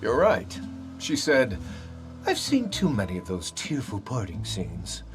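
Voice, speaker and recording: Low, expressive, gentle game guide. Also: male voice, unhurried, over music. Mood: gently wry. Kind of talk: video game voice acting.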